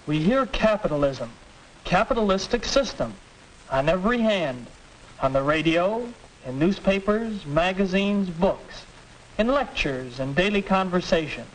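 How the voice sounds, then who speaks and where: An American man playing a formal young high school forum moderator, announcing in measured 1940s radio style. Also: expressive, poor audio. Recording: hissy old film soundtrack.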